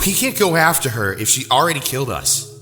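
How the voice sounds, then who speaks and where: An actor playing a proud young superhero rival in an audio drama, cocky and confrontational. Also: male voice, medium pitch, very animated, a little fast, sharp tone, long reverb tail, soft background music. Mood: defiant.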